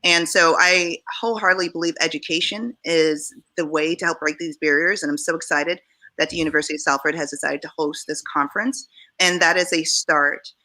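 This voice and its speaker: Low bright-voiced Jamaican-born woman. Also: steady tone, a little fast, poor audio. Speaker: panel speaker. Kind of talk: panel discussion.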